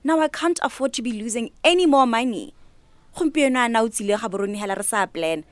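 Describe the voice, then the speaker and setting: A woman's voice: on the high side, fast talker, dry recording, lightly acted. An actress playing an ambitious, earnest young niece in a radio drama, a young woman with a Botswana accent. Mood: earnest, exasperated. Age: young adult. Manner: animated, earnest, persuasive. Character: young, ambitious, organised niece starting a catering business. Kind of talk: radio drama acting, code-switching dialogue.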